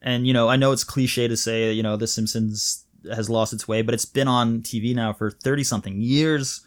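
A man's voice: mid-range voice, fairly even intonation, normal speed. A man in his thirties from Malaysia, co-hosting a podcast and chatting enthusiastically, with a rambling way of talking. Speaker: podcast co-host. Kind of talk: casual chat.

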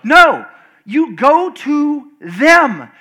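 A pastor preaching a lively sermon to his congregation with call and response, his voice screechy and loud, swinging from big high outbursts to low, quiet stretches with many restarts. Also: drawn-out words. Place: church service before a congregation.

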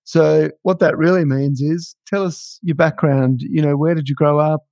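A man's voice: on the high side, steady tone, creaky, darkish tone, dry recording. Chatty, teasing male podcast host. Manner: chatty, teasing, quick to laugh. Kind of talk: casual podcast interviewing.

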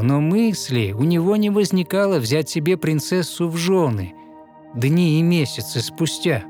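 A man narrating a fairy tale in verse, with a lyrical, rhythmic and expressive scripted delivery. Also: a little high.

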